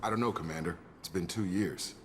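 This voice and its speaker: A low-pitched American soldier, loyal and steady, talking in a matter-of-fact, informal way. It is game dialogue with clear background noise. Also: male voice, fairly quick. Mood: matter-of-fact, explaining. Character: steady, loyal male soldier and station security chief.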